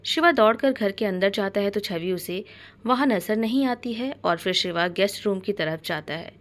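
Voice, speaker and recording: A woman's voice: medium pitch, normal speed. A woman storyteller reading a scripted dramatic story as narration.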